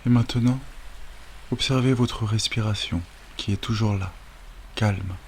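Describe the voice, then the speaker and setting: A man guiding a sleep meditation as a yoga nidra teacher, speaking calmly and soothingly with long, unhurried pauses. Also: fairly low pitch. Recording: steady wave noise over the voice. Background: sound of ocean waves.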